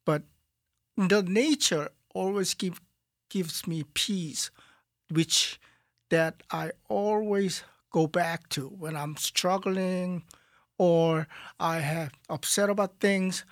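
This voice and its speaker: Slow-spoken Korean-accented man. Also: sits high, no room sound, studio quality. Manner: halting, searching for words. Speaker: guest artist. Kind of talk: calm interview talk. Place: radio studio.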